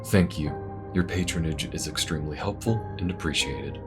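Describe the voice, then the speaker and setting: Man, extremely deep voice, scripted outro, credits and thanks, audio roleplay creator, faint music. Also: moderate pace. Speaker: audio roleplay writer and voice actor.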